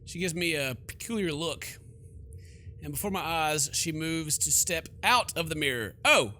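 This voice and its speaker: A man's voice: on the high side, normal speed, bright, forceful tone, slight background noise. A man hosting a let's play, reading game text aloud and commenting with jokey, theatrical reactions. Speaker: gaming video host.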